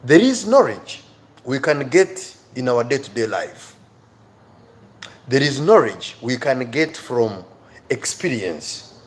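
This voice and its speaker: A male teacher with an East African accent leads an informal live class. He speaks slowly at a medium pitch, addressing viewers directly. He repeats important words for emphasis. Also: very animated. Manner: emphatic, repeats key words and phrases for effect. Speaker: English teacher hosting a live online class.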